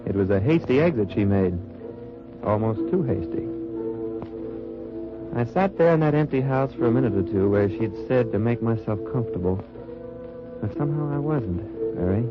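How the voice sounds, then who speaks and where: A man's voice: lowish voice, lively intonation, moderate pace, long pauses, close to an everyday voice. An actor playing an undercover wartime agent posing as a wine merchant, narrating his mission in an old radio drama. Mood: wary, reflective. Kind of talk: 1940s-50s radio drama acting and narration. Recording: dull old radio recording with music.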